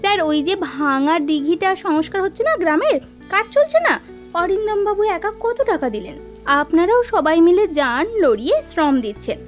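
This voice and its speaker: A woman plays an eager young village woman in a cartoon, with a high voice that swoops up and down. She speaks respectfully, and soft music plays underneath. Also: very animated, a little fast. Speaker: village woman collecting funds.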